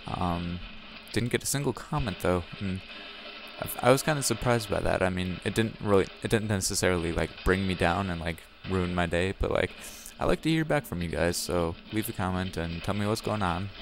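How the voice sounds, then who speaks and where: A young man talks over game footage in a very low, gravelly voice with a slight rasp. He rambles loosely and keeps correcting himself mid-sentence. Music plays clearly behind him. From Wisconsin, USA. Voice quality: raspy. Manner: rambling, self-correcting, chatty. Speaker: gaming channel host. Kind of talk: casual gameplay commentary.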